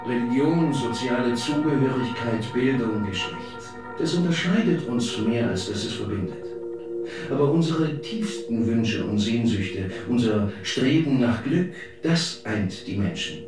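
A man's voice: medium pitch, lively intonation, unhurried, close to an everyday voice. Echoing, earnest visionary played by a male actor. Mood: visionary, measured. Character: idealistic visionary male founder presenting his utopian project. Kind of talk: radio drama monologue, speech-like delivery. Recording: very echoey room, music underneath.

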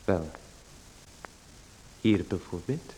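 A man's voice: low voice, expressive, extremely slow, mellow, a little hiss or hum, exaggerated voice, low-quality recording. A man playing a meek, polite host in a radio play, who hesitates and gives in to his visitor. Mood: hesitant.

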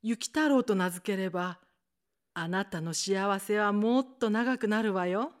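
Radio play snow spirit, acted by a woman: medium-pitched, composed, commanding female voice, native Japanese. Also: a little fast, lightly acted. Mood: bargaining. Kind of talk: radio drama acting.

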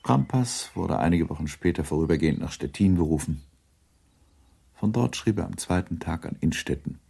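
A man narrating a period novel in a radio drama, measured and formal. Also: low-pitched, lively intonation, creaky, dark tone, slightly rough, exaggerated voice.

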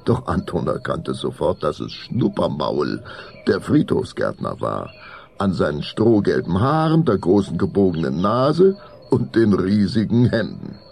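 A man narrating a children's audio drama in a measured, suspenseful way. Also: very deep voice, vocal fry, darkish tone, slight background noise.

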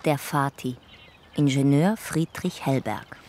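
A woman narrating a scripted radio drama in a measured, matter-of-fact way. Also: a bass voice, warm tone, a little hiss or hum.

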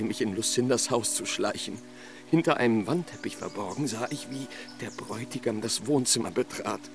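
A man doing a heartbroken young nobleman in a radio drama, impassioned and mournful, telling his tale over faint music in a studio production. His voice sits at a middle pitch and rises sharply when the story turns painful. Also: close to an everyday voice. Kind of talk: radio play acting.

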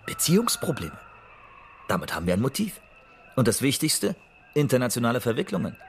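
An actor playing a shrewd, cynical investigator in a radio drama, speaking in a methodical, matter-of-fact way. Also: male voice, medium pitch, wildly swooping pitch, a little fast, vocal fry, slight background noise. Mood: methodical, official. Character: shrewd, cynical male investigator reciting a fabricated case report. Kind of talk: radio drama dialogue, reading out a report.

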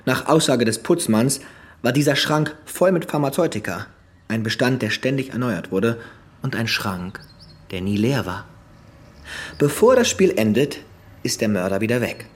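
An actor playing a methodical police investigator in a crime radio drama, reporting in an orderly, factual way. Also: male voice, medium pitch, lively intonation, a little slow, pauses often, close to an everyday voice. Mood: factual, measured. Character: methodical male police investigator briefing his chief.

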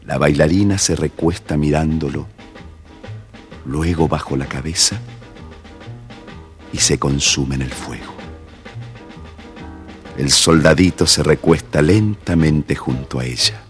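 Low-pitched Argentine male storyteller. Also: slow, pauses often, soft background music. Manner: addresses young listeners directly. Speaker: storyteller, narrator. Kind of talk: scripted narration of children's tale.

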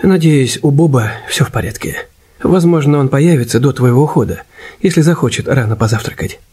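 An actor playing a courteous, gentle man of nearly sixty in a radio play, speaking softly and carefully. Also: mid-range voice, animated, fairly quick, slightly rough. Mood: careful, kind. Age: older. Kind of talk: Russian radio play acting. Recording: loud music under studio voice.

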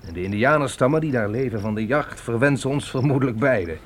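A man's voice: medium pitch, lively intonation, quick pace, a little hiss or hum, lightly acted. An actor playing an earnest pioneer father teaching his sons in a radio drama. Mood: solemn, fervent.

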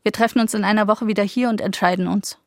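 A woman's voice: medium pitch, fairly even intonation, fast, no echo, clean studio sound, lightly acted. An actress playing the narrator's mother as a young woman in a radio drama, speaking bluntly in short, pleading lines.

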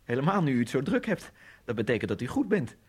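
A man's voice: mid-range voice, big pitch swings, fast, husky. An actor playing a proud Egyptian immigrant man in a radio play, polite yet insistent and needling. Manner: polite but insistent, goading, bursts of swearing. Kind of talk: radio drama acting.

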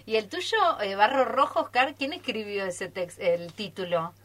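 An Argentine woman takes part in a casual radio studio interview as a cast member. Her voice has an ordinary middle pitch for a woman. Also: animated, moderate pace, fairly bright tone. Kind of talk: casual group interview chat.

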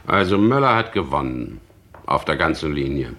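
Deep-voiced, probing police inspector. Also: male voice, big pitch swings, normal speed, a bit bright, heavily acted. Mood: insistent, needling. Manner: insistent, controlled, needling. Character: probing, persistent male police chief inspector. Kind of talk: German radio crime drama acting.

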